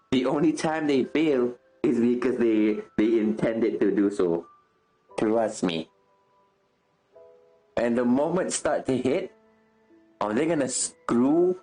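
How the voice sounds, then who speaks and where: A man streams his reactions to a game in an informal, sweary way. His voice sits at an average pitch, and game music plays faintly behind his off-the-cuff commentary. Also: mellow, poor audio. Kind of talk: casual gameplay reaction commentary.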